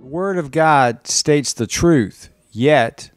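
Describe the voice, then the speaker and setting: A man's voice: on the high side, slow pace. Solemn, emphatic man narrating over music. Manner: emphatic, declamatory. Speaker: narrator quoting scripture. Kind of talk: solemn scripted narration with scripture reading.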